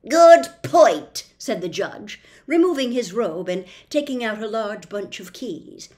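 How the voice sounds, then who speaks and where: Woman reading a kids book out loud, really unhurried, lots of lilt and drama. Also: mid-range voice, expressive. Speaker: storyteller. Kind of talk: expressive scripted narration of a children's classic.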